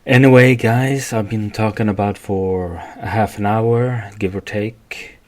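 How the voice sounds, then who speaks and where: A man from Sweden with a Swedish accent, speaking English as a second language in a rambling, halting solo podcast monologue. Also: lowish voice, fairly even intonation, a little mellow, faint music behind. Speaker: podcast host.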